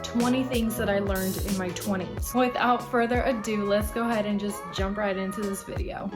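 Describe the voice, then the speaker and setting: A woman's voice: mid-range voice, fairly even intonation, moderate pace, loud music behind the voice. A woman in her late twenties talking casually to camera, chatty and emphatic as she runs through a list of life lessons. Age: 20s. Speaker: vlogger.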